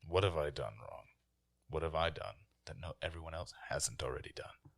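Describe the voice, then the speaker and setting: A man voicing a towering goliath warrior in a tabletop game, blunt, unhurried and calmly menacing, with a deep gravelly voice. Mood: indignant.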